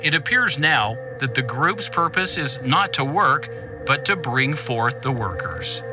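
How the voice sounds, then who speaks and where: A male actor playing a serious, brisk news journalist, delivering a polished, urgent broadcast report in an audio drama. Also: medium pitch, sing-song, music in the background.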